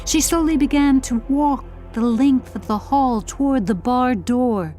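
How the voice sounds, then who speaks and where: A woman narrating a scripted storybook audiobook in a deliberate, dramatic storytelling manner. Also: high voice, mellow, soft background music.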